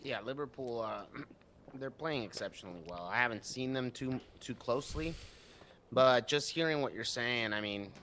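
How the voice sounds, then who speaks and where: A man co-hosting a casual sports podcast, speaking in an easygoing, halting way and often repeating words. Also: mid-range voice, a bit bright, slight background noise. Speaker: podcast co-host.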